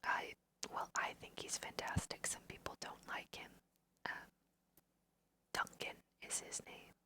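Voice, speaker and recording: A young woman whispering gently and softly in an unhurried ASMR style as she guides listeners through a video game. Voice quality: soft breathy whisper. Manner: gentle, unhurried, hushed guiding. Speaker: host of an ASMR gaming video. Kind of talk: ASMR let's play commentary.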